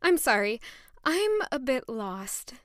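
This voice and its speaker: A woman's voice: high-pitched, sing-song, takes it slowly, fairly bright tone, no room sound, clean studio sound, heavily acted. A young woman playing an earnest, emotional young noblewoman in a romantic fantasy audio drama, hesitant and sincere. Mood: confused, anxious.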